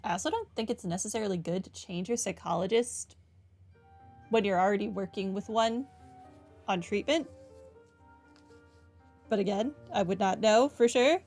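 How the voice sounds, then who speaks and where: A woman's voice: medium pitch, moderate pace, long pauses, a little mellow, soft background music. A woman hosting a game playthrough with casual commentary on the story. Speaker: gaming playthrough host.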